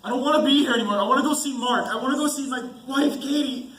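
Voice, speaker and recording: A man's voice: very high voice, fast, fairly bright tone. A teenage boy playing a frightened, apologetic young soldier and husband in an amateur student stage play. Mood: panicked pleading. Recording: echoey school stage, clear background noise.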